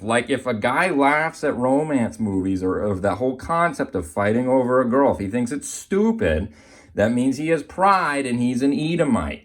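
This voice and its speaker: A man giving an informal explanatory lesson as an amateur Bible teacher, often checking in with the listener. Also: medium pitch. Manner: repeatedly checks in with "okay?".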